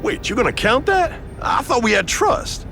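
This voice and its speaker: A violent video game street thug, frantic and strained. His shouts are extremely high for a man and creaky, over music. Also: big pitch swings, moderate pace, a little hiss or hum, heavily acted. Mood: aggressive, alarmed.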